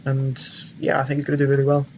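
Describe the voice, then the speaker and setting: A man's voice: mid-range voice, monotone, quick pace, creaky, lo-fi sound, slight background noise. A young British man co-hosting a podcast, talking informally and agreeably in casual chat. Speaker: podcast co-host. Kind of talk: casual film and game chat.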